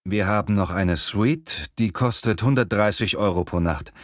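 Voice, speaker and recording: A man's voice: lowish voice, normal speed, dry recording, clean studio sound, lightly acted. A man playing a polite, formal hotel receptionist in a scripted language-lesson dialogue.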